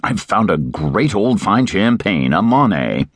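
A man's voice: low voice, sing-song, a little slow, breathy edge, no echo, exaggerated voice. Man reading a genial, easygoing host. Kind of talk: audiobook character voice.

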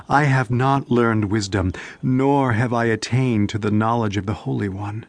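An actor playing a solemn ancient sage, reciting scripture in a theatrical, deliberate and emphatic manner in a dramatized audio Bible. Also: male voice, fairly low pitch, no echo. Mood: weary, questioning. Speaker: Bible narrator and reader.

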